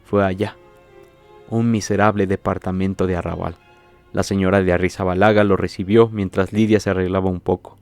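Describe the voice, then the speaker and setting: Low-voiced man narrating an audiobook. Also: level tone, gravelly, darkish tone, clear, resonant tone, no echo, faint music behind. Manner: measured, literary storytelling.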